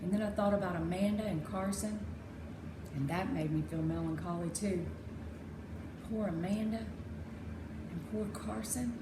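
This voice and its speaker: A woman narrating a children's chapter book aloud in an expressive storybook style. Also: mid-range voice, a little slow, pauses often. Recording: echoey room with clear background noise.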